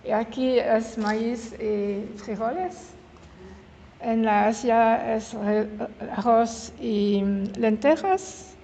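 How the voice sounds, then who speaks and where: A woman in her seventies with a French-speaking Swiss accent addresses a room in a fairly high voice, picking her words very slowly and often correcting herself. Her voice carries a long hall reverb over faint room noise. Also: steady tone, dark tone. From Switzerland. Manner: halting, searches for words, corrects herself mid-word. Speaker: guest speaker. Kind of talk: informal public talk. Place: meeting hall in an old house.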